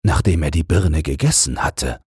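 A man voicing a calm, protective king in a fairy tale, measured and grave. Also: rumbling low, husky, no echo, clean studio sound, exaggerated voice. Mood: calm authority.

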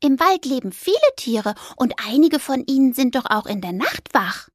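A woman voicing a small, lively female mouse in a storybook, chirpy and a bit bossy. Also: high-pitched, normal speed, no echo, studio quality, voice pushed up. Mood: eager. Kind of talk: storybook character acting.

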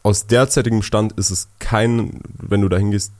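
Young man, very low voice, casual podcast talk, halting phrases with fillers. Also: steady tone, darkish tone. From Germany. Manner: talks in short restarted phrases with many fillers. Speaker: podcast co-host.

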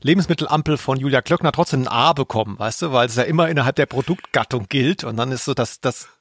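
A man's voice: medium pitch, quick pace, fairly bright tone, a little hiss or hum. A man co-hosting a podcast, chatting casually with wry, ironic jokes. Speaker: podcast co-host.

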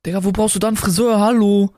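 Young male co-host, voice a bit on the high side, very dry sound. Slangy, ironic, jokey chat. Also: fairly even intonation, quick pace, dark tone, no echo. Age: young adult. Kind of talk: casual chat with slangy banter.

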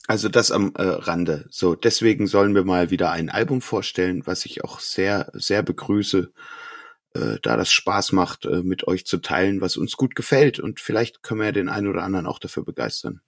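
A man with a low, quite husky voice talks casually as a podcast host. The recording is dry and close, with hardly any room around him. He chats about music in an informal way. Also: dry recording.

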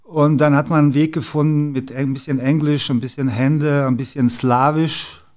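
A man's voice: a little high, little pitch movement, moderate pace, a little mellow. A middle-aged man from the former Yugoslavia, a guest in an interview, who talks with many fillers and restarts. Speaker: interview guest, basketball club executive. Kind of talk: casual interview talk.

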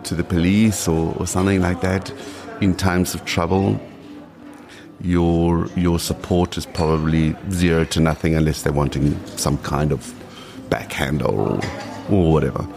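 Deep gravelly South African man. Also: steady tone, unhurried, crowd noise. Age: late 40s. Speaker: podcast guest. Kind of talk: casual conversational interview.

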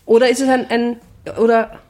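Higher-voiced Austrian woman, dry comic banter. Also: level tone, moderate pace, a little mellow, slight background noise. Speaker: improv comedian and podcast co-host. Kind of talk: casual improvised comedy chat.

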